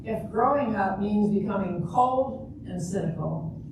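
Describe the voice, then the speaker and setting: A woman's voice: medium pitch, lively intonation, unhurried, natural voice. An older woman playing a wistful, hopeful Juliet in an amateur stage reading, speaking earnestly. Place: echoey room at a retirement community event. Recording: very echoey room, distant mic, hissy noise.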